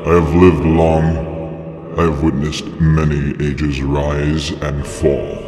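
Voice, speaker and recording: A man voicing an ancient dragon elder in a comic dub, speaking in a solemn, ominous and deliberate storytelling manner. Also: extremely low pitch, little pitch movement. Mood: grave warning. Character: ancient male dragon elder, grave and prophetic. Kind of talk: dramatic comic dub acting. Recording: long cavernous reverb under music.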